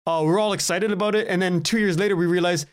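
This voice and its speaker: A man's voice: sits high, fairly even intonation, rapid-fire, clean tone. A man, a podcast guest telling anecdotes in a casual, self-deprecating way.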